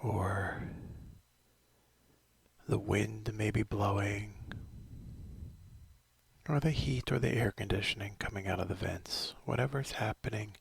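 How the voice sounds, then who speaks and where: A middle-aged man leading a guided body scan meditation, slow and soothing, often sinking into a whisper. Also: on the low side, gravelly, husky.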